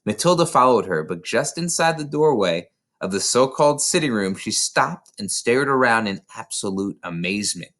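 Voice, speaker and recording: A man reads a children's novel aloud as an expressive storyteller. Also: lowish voice, moderate pace. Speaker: narrator, reader of a children's novel.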